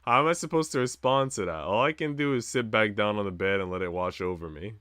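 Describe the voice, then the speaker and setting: A man's voice: fairly low pitch, fast, a bit bright, clear, resonant tone, no room sound, studio quality. A man streaming a visual novel, reading the story narration expressively with casual asides.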